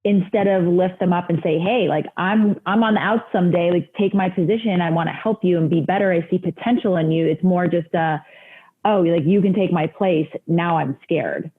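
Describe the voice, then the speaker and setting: A woman's voice: fairly low pitch, normal speed, phone-quality sound. A woman podcast host in casual chat, encouraging and reflective, talking in long run-on thoughts.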